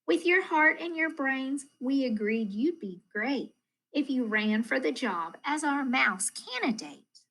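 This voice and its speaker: Woman, children's read-aloud, teacherly and expressive, unhurried slow pace, some vocal fry. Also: high-pitched, low-quality recording. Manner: expressive, deliberate storytelling delivery addressed to young children. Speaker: elementary school math coach reading to pupils.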